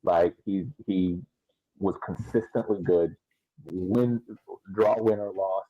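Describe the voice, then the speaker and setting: A deep-voiced man recorded in dull, lo-fi sound. He co-hosts a podcast, offering casual sports analysis in measured, halting phrases. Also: fairly even intonation. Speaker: podcast co-host. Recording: dull lo-fi audio.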